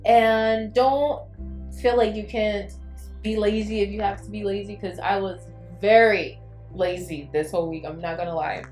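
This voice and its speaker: A woman's voice: mid-range voice, steady tone, moderate pace, darkish tone, reverberant, soft background music. A woman from Liberia chatting casually and reflectively as a vlogger, sharing personal thoughts in a candid, conversational way. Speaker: vlogger hosting a YouTube channel. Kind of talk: casual confessional chat to camera.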